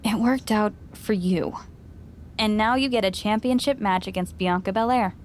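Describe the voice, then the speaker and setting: A woman plays a wry old friend who needles with dry sarcasm. Her voice sits in the middle for a woman, with a faint low rumble behind it. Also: normal speed, close to an everyday voice. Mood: teasing. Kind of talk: game cutscene dialogue.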